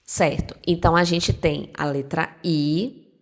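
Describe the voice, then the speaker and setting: A woman's voice: low-pitched, fairly even intonation, a little slow. A young woman teacher with a Northeastern Brazilian accent, giving a casual video lesson and explaining patiently, step by step. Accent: Northeastern Brazilian Portuguese. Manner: friendly, reassuring, explains step by step. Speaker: Spanish teacher.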